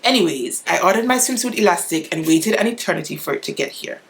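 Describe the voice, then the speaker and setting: A woman's voice: lowish voice, animated, fast talker, vocal fry, breathy edge. A woman talking casually through her sewing project in a chatty, self-deprecating vlog. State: stuffy and congested. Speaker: sewing vlogger.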